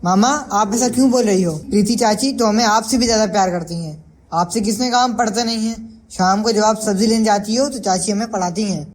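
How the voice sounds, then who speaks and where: A woman voicing a cheeky, affectionate young child in an animated family story. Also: medium pitch, a little fast, natural voice. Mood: protesting. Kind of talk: Hindi animated moral story dialogue.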